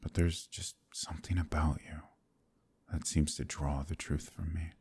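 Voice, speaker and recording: An extremely deep, dark male voice full of gravelly fry , a man acting out a role. He is a haunted, courteous gentleman hiding that he is a werewolf. His soft-spoken, halting, old-fashioned phrasing suits an intimate ASMR audio drama. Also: flat delivery, a little hiss or hum, full character voice. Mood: confessional, somber. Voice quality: gravelly, husky, breathy at times. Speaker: voice actor in an audio roleplay. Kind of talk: dramatic ASMR roleplay monologue.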